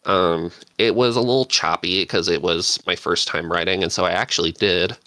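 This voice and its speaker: A man with a fairly low but bright, forward voice tells stories casually as a podcast guest. He moves through his thoughts in halting, reflective phrases, and the sound is close and dry. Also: dry recording. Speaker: panel guest, script writer.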